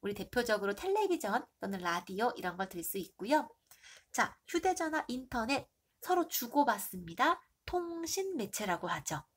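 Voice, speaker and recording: A woman teacher with a stuffy nose and a hoarse throat, reviewing for an exam and asking the class questions she then answers herself. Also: fairly high pitch, very animated, moderate pace. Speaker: middle school Korean teacher.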